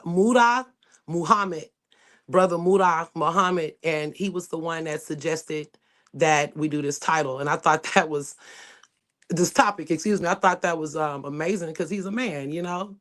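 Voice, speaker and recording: Rambling woman hosting a live call panel. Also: on the low side. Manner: loose, rambling, with frequent restarts. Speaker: panel host. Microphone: video call.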